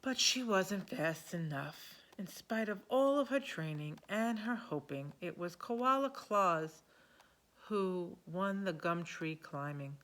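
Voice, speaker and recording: A woman reading a picture book aloud to young children in an expressive storytelling manner. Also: lowish voice, slow.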